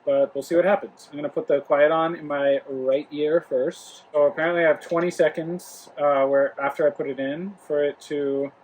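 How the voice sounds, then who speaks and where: A man's voice: fairly high pitch, steady tone, moderate pace, few pauses, a little mellow, poor audio. A young man from Rhode Island, hosting a casual home product review vlog, talking loosely with lots of fillers and restarts. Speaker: travel vlog host. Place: home, living room near the kitchen.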